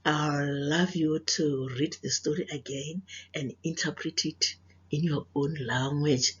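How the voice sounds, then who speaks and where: A South African woman, a native Sepedi speaker with a husky, breathy voice, reading to children as a teacher, explaining and questioning as she goes. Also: low voice, fairly even intonation, slow pace, dull audio. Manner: didactic, repeats phrases, questions listeners. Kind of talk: children's read-aloud with moral lesson.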